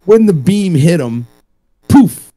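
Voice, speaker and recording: A man co-hosting a casual show, joking and swearing freely in an animated, streetwise way. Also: high voice, takes it slowly. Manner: animated, profane, streetwise ribbing. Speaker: co-host.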